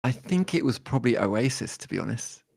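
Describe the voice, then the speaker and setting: A man, talking as a guest musician in a relaxed interview, thoughtful and hesitant with many fillers and restarts. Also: medium pitch, brisk, creaky, husky, dry recording, studio quality. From born in Detroit, raised in London.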